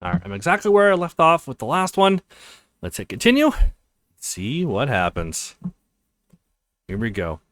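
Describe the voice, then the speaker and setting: Man with very animated pitch, reading aloud. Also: normal speed, long pauses, no room sound. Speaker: let's play video host. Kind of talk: let's play narration, reading visual novel text aloud.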